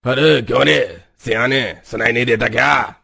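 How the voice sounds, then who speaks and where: A man's voice: medium pitch, moderate pace, creaky, sharp tone, slightly rough, heavily acted. A man voicing a bullying, menacing street thug in a cartoon story. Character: bullying male street thug demanding money.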